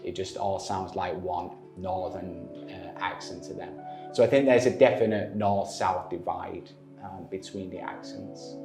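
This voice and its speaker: A man from northern England with a Manchester accent, answering interview questions in a measured way with frequent pauses. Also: low voice, steady tone, mellow, roomy sound, faint music behind. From northern England, Manchester area. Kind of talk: explaining in an interview.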